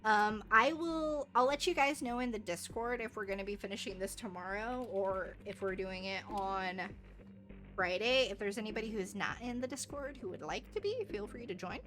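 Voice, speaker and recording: A woman livestreamer chatting casually with her viewers in an expressive, bubbly, rambling way. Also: mid-range voice, moderate pace, soft background music. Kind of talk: casual live game stream chat with viewers.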